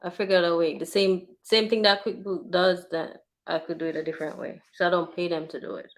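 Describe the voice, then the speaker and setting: A woman's voice: fairly low pitch, fairly even intonation, a little fast. A woman co-hosting a casual livestream chat, giving brief, understated answers. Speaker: livestream co-host.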